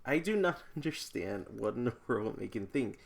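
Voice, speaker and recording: A man's voice: medium pitch, big pitch swings, moderate pace, vocal fry. A man chatting casually as he reacts to books, with playful, incredulous commentary and slangy asides. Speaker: book vlogger. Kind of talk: casual reaction read-along chat.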